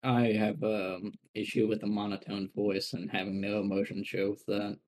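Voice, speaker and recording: A young man co-hosting a podcast, calm and measured with dry, sarcastic remarks. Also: fairly low pitch. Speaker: podcast co-host. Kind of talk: casual chat among friends.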